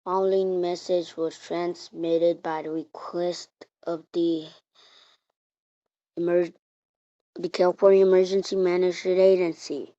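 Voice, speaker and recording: A woman reading out an improvised mock emergency alert in a halting way, repeating and restarting her words. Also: fairly low pitch, flat delivery, dark tone. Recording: muffled, roomy home recording.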